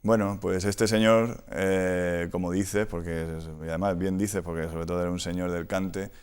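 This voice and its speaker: Deep, smooth, even-toned man from Madrid. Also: normal speed. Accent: Madrid Spanish. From Madrid, Spain. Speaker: interview guest, flamenco record collector. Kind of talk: conversational interview.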